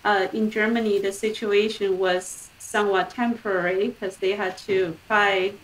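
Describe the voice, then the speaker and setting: A woman's voice: medium pitch, fairly even intonation, moderate pace. A woman in her forties, an academic guest, explaining research conversationally on a video call from home. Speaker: guest professor. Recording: slightly distant video-call microphone.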